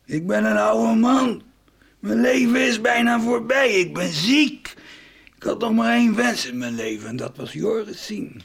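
Casting: ailing old father in radio drama, very gravelly, taunting, swinging widely from mutter to cry. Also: sits high, lively intonation, fairly bright tone, full character voice. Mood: resigned, menacing. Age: older. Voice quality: gravelly, husky. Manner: slow, taunting, dramatic drawn-out delivery. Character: sick old man, bitter, taunting father near death. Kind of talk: 1990s radio soap acting.

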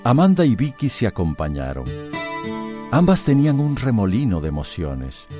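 A man's voice: mid-range voice, wildly swooping pitch, moderate pace. A man with a Costa Rican accent giving dramatic scripted narration for a radio soap. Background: plucked guitar music.

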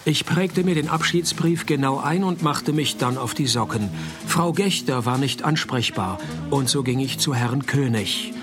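A man's voice: medium pitch, a little slow, music in the background, close to an everyday voice. An actor playing a dry, sardonic private detective, narrating his case in a radio play. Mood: dry narration. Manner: deadpan, laconic, sardonic.

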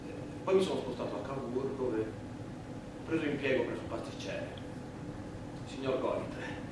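An actor plays an earnest Italian immigrant man, an anarchist worker testifying in a stage drama. His speech is halting and plain, with an Italian accent and a medium pitch. The sound is heavily reverberant and picked up from a distance, with clear noise around it. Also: lightly acted. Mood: sombre, reflective. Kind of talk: theatrical monologue, courtroom testimony. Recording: very echoey, far-off mic, noisy stage recording.

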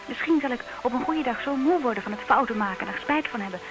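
A woman's voice: a little high, expressive, fast, music in the background, natural voice, low-quality recording. A woman playing a wry, independent-minded, slightly old-fashioned single lady in a vintage comic radio play, polite and dryly teasing. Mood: wistful, reflective.

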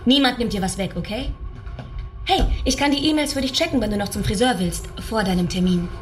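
An actress playing a sly, vain young assistant. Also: female voice, on the high side, a little fast, soft background music, natural voice. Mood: needling. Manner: sweetly needling, condescending. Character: Jesse, young female assistant, vain, scheming. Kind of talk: radio drama acting.

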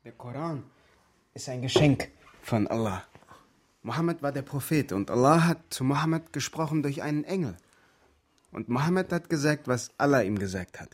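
A man's voice: mid-range voice, lively intonation, normal speed, close to an everyday voice. Actor playing a solemn, devout Egyptian refugee man. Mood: earnest. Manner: solemn, simple declarative sentences. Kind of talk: German radio crime drama acting.

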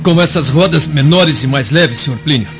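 A man's voice: on the high side, normal speed, natural voice. A man playing a respectful, eager slave of a Roman household in a radio drama. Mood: deferential, eager. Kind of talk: radio drama acting in Portuguese. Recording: muffled radio drama under loud music.

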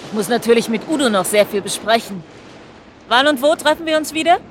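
Actress as a brisk, guarded woman amid waves. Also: a little high, expressive, close to an everyday voice. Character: brisk, cautious woman negotiating with a fugitive. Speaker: radio drama actor. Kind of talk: naturalistic German radio drama acting. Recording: clear seaside background noise.

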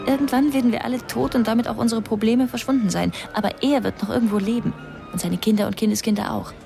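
A woman's voice: on the high side, steady tone, quick pace, creaky, music in the background. A woman playing a resolute, earnest young pregnant woman in a naturalistic radio drama, speaking insistently. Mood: firm, urgent.

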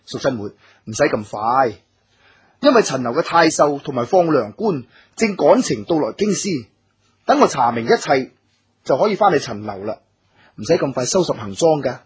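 An actor playing a dutiful, filial scholar-official in a radio drama, speaking measured, formal Cantonese with a husky voice. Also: male voice, medium pitch, big pitch swings. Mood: resolute. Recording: music under the voice.

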